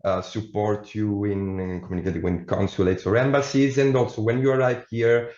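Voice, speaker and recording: A man's voice: lowish voice, fairly even intonation, a little fast, darkish tone. A man presenting admissions details in an online session, with frequent hesitations. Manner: hesitant, many fillers. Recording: echoey room, dull lo-fi sound.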